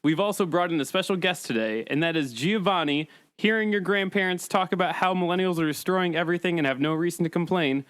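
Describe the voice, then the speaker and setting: A man's voice: sits high, quick pace, fairly bright tone, clean tone, no room sound. A man hosting a podcast, moderating a panel in casual, joking chat.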